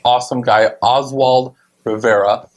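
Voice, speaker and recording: A male host chatting casually on his talk show. He is eager and upbeat, and he fills his pauses with ums and uhs as he introduces a guest. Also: mid-range voice, steady tone. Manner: enthusiastic, many fillers. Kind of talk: casual radio show chat.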